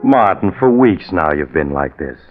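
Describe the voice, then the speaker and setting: Very muffled vintage radio sound, low-voiced friend, earnest, admonishing, swooping melodramatic intonation. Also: male voice, big pitch swings, moderate pace, music in the background. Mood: earnest reasoning. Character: concerned, steadying male friend of a doomed man. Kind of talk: 1940s radio drama acting. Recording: very muffled old 1940s radio recording.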